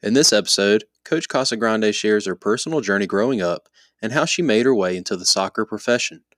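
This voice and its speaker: A man's voice: lowish voice, animated, brisk, fairly bright tone. A man, the podcast's host, reading an upbeat scripted intro.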